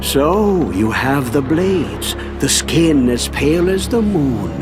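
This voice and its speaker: Swooping, cackling old gravedigger. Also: male voice, on the high side, very animated, slow pace, over music. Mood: gleeful, knowing. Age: older. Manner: wry, knowing. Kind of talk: dramatic video game acting.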